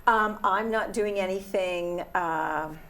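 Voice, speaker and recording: Woman actor in an interview, kinda halting, faint noise behind her. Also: medium pitch, a bit bright. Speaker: amateur actor, interview guest. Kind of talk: casual interview chat.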